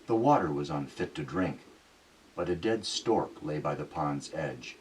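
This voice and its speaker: A man narrating an audiobook dramatically, with a coached South Sudanese Dinka accent and a slightly husky voice. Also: rumbling low, animated, measured pace, slight background noise, low-quality recording.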